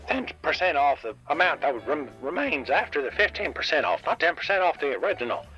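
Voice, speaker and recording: A man's voice: on the high side, very animated, brisk, pushed and bright, husky, dull audio, soft background music, full character voice. An actor playing a reluctant, folksy diner owner who rambles offhand through his own comedic radio advert. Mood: offhand, rambling.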